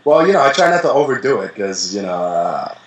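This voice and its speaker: Young man, interview guest, loose and rambling, middle pitch, echoey room. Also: fairly quick. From the Bronx, New York. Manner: relaxed, rambling, many fillers. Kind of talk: casual interview chat.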